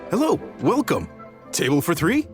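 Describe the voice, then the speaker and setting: A man's voice: fairly high pitch, wildly swooping pitch, moderate pace, over loud music. An actor playing a proud, jovial middle-aged chef and restaurant owner in a video game, with a hearty salesman's manner. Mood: welcoming, jovial. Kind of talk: animated video game acting.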